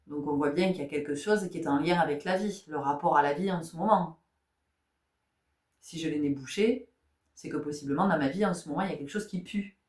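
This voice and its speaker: A woman's voice: low voice, brisk, a little mellow, recorded from a distance. A woman giving a spiritual tarot guidance talk, asking rhetorical questions, repeating phrases and often checking in with her listeners. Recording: echoey room.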